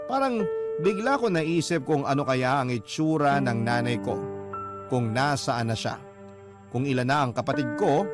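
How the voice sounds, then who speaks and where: Filipino male radio drama narrator over music. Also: mid-range voice. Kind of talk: scripted radio drama narration in first person.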